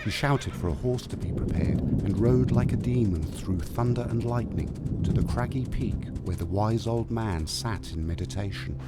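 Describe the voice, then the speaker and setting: A man narrating a scripted tale as a storyteller, with measured, dramatic delivery. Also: fairly low pitch, heavy background noise. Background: music.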